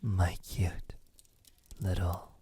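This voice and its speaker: A man playing a possessive, teasing vampire in an intimate ASMR roleplay, speaking softly and breathily with frequent drops into a whisper. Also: low voice, little pitch movement. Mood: coaxing, tender. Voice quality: breathy, often dropping to a whisper. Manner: slow, menacingly tender, teasing. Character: possessive, teasing, lonely male vampire in his castle.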